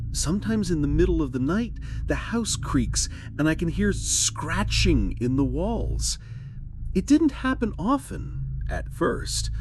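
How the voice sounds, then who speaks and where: Man voicing a high-pitched, worried young housewife. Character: worried, polite young housewife troubled by strange noises.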